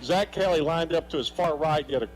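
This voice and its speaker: A man's voice: a little high, brisk, low-quality recording. Folksy American male football color commentator. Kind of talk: conversational live football analysis.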